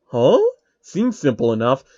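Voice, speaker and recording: A man voicing a hot-headed young male player who shouts and swears when things go wrong. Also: mid-range voice, sing-song, measured pace, lo-fi sound. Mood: offhand. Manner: loud, angry outbursts, swearing.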